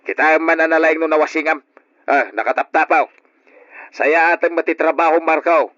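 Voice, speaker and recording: An actor with an Ilocano accent playing a harsh, middle-aged uncle who nags gruffly in old radio drama style. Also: male voice, a little high, moderate pace, a bit bright, dry recording, natural voice. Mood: scolding. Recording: dull old radio recording.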